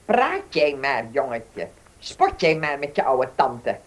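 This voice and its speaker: A woman's voice: rumbling low, wildly swooping pitch, takes it slowly, heavily acted. A woman playing a bossy, spiteful old aunt in a radio drama, scornful and nagging.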